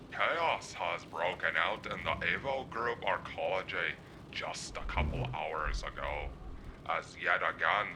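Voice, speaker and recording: A man playing a slick, theatrical late-night host in a cyberpunk audio drama, showy and wry with drawn-out delivery. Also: low-pitched, noisy background. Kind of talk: showy talk show monologue. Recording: music under the voice.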